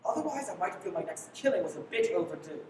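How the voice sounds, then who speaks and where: In a big echoing hall, far from the mic, a young woman plays a brash fugitive man in a radio play. The delivery is sardonic and bossy, swinging widely in pitch. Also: lively intonation, quick pace, a little mellow, close to an everyday voice. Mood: stern, threatening. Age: teens. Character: brash sardonic man on the run, wrongly accused. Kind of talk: live stage radio play acting. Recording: echoey hall from far off, faint rumble.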